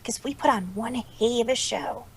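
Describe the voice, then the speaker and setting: A woman's voice: a little high, moderate pace, slight background noise, natural voice. A woman voicing a brash, cocky young female cartoon pegasus, slangy and challenging, in amateur fan-fiction style. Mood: brash, encouraging.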